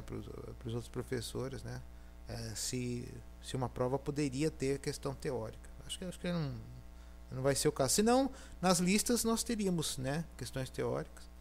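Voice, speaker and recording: A man with a Brazilian Portuguese accent explains a lesson in a loose, conversational way. He thinks aloud, often pausing to check that students are following. His voice sits a little higher than most men's. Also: gravelly, darkish tone, slight background noise. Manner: thinking aloud, frequent "né?" and fillers. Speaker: university lecturer. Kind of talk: recorded programming lecture.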